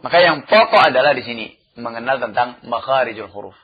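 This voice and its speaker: A male religious teacher gives a lecture in a husky, mid-pitched voice. He chants verses slowly, then explains them, often dropping in "ya" as a filler. The recording is dull and lo-fi. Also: animated. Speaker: Islamic teacher.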